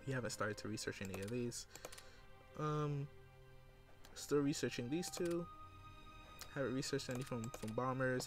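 A man giving casual let's play commentary, rambling and thinking aloud with casual swearing. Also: medium pitch. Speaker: gamer recording a let's play. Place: indoors at a computer.

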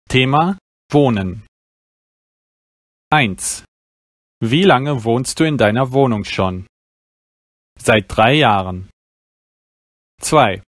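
A man reads language exam practice texts aloud at a very unhurried pace. He speaks each phrase carefully and clearly, like a teacher. His voice sits in a middle pitch and the sound is dry and close, with no room echo. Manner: slow, careful, clearly articulated reading. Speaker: German teacher.

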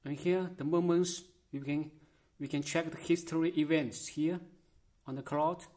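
A man giving a casual step-by-step product demonstration in short, halting phrases, in a small room. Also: fairly high pitch, steady tone, darkish tone. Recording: music behind the voice. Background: music.

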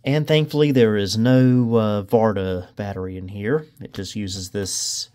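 A man's voice: lowish voice, unhurried, darkish tone. A man giving casual hands-on commentary as a video host, thinking aloud and often unsure. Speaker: host of a vintage computer video channel. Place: indoors in a home room.

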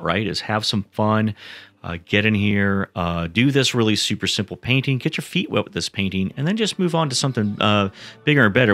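A man's voice: deep voice, creaky, sharp tone, dry recording. A man giving a casual, rambling painting lesson, full of fillers and restarts. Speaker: painting instructor. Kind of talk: casual painting tutorial voice-over.

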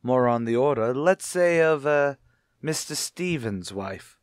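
A shrewd police captain from a retro radio mystery, acted by a man. He probes and needles with sardonic politeness. His medium-pitched voice sits in a dry, close sound. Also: lively intonation, a little slow, no room sound, lightly acted. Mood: insinuating. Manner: probing, sardonic.

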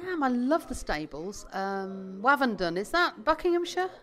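A woman's voice: fairly high pitch, very animated, moderate pace, fairly bright tone. A woman, a musician, chatting in an interview, rambling a little and laughing at herself. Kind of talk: casual interview chat.

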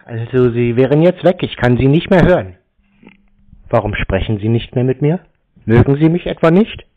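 A man speaking in a deadpan, terse, mock-naive way during a prank phone call. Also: medium pitch, normal speed. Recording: thin, dull phone-like sound.

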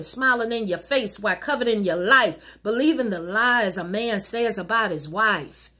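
A middle-aged woman minister reciting an inspirational rhyming poem in an earnest, rhythmic, exhorting way, with a slightly husky voice. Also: medium pitch, bright, forceful tone. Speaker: minister and poet. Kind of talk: recited rhyming spoken-word sermon poem. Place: wood-paneled living room at home.

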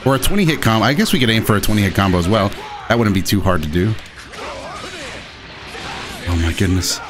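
A man giving casual, excitable commentary while he plays a video game, with playful dramatic flourishes. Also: fairly low pitch, a little fast, over music. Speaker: gaming video host and player. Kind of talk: casual live gameplay commentary.

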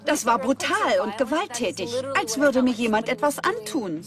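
A woman's voice: high-pitched, big pitch swings, moderate pace, music in the background. A woman speaking conversationally as a scientist in a dubbed documentary.

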